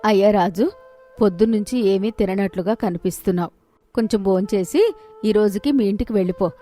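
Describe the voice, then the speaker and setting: A woman's voice: medium pitch, a little fast, warm tone, soft background music, lightly acted. A woman voicing a caring, motherly village wife with a homely, solicitous manner in a storybook tale.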